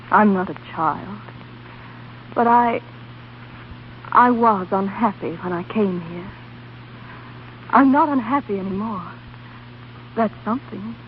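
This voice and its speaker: A woman's voice: mid-range voice, measured pace, pauses often, a little hiss or hum, close to an everyday voice. An actress playing an ardent, vulnerable woman in love, with an earnest, plaintive delivery in an old-time radio drama. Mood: tender, wistful. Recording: dull vintage radio recording, music underneath.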